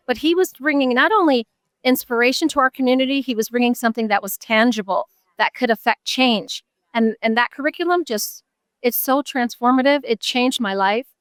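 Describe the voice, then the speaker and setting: Middle-aged woman, American accent, higher-pitched, resonant and clean, earnest interview guest, emphatic phrasing. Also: fairly bright tone, smooth, no echo, studio quality. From Buffalo, New York, USA. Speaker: interview guest, nonprofit advocate. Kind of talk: conversational live interview.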